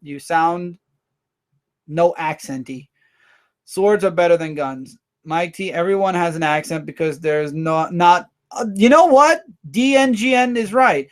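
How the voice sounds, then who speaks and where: Animated high-voiced man hosting a livestream. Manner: rambling, animated, quick asides. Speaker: livestream host. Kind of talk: casual chat reading viewer comments.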